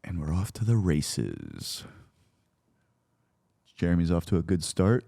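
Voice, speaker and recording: A man's voice: sits low, huge pitch swings, slow, creaky, mellow. A man in casual banter, joking dryly with a mock-wounded air.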